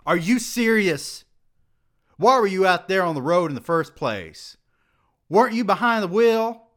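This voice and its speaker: A man's voice: fairly low pitch, big pitch swings, slow pace, exaggerated voice. A man doing a scornful, explosive older college president. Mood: furious. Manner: mocking, then shouting in outrage.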